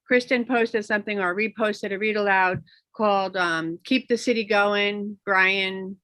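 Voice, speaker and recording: A woman's voice: medium pitch, fairly even intonation, unhurried, fairly bright tone, no room sound. A woman who works as an elementary school specialist, casually and emphatically sharing her experience in an online discussion. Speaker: elementary social studies curriculum specialist.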